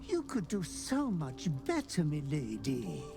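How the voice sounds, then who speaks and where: A sinister butler purrs threats in a slow, insinuating drawl. The voice sits high and swoops widely as it lingers over each word. Music plays behind him in this game cutscene. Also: male voice, huge pitch swings, heavily acted. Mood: menacing. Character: sinister male butler, silky and menacing. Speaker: game character.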